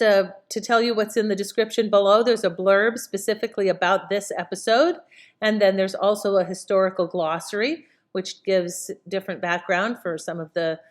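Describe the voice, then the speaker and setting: Casual, rambling woman host, medium pitch. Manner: rambling, self-correcting, conversational. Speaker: host introducing old radio shows. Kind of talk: casual, unscripted introduction.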